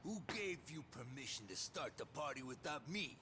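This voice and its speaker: An actor playing a menacing yakuza lieutenant in a video game, with a sneering, mocking delivery. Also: male voice, mid-range voice, big pitch swings, moderate pace, noisy background, lightly acted. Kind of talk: video game dub acting. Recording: game music behind.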